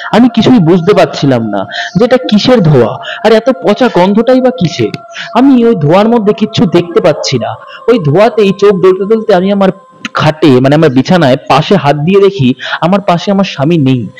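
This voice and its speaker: A man narrating a ghost story as a calm, steady first-person account told from a woman's point of view. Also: sits high, low-quality recording.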